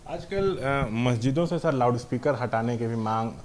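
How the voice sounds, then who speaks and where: A man from India working as a TV news reporter, asking questions and summing up in a conversational, slightly halting way. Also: mid-range voice, darkish tone, slight background noise. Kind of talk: news interview questions and field sign-off.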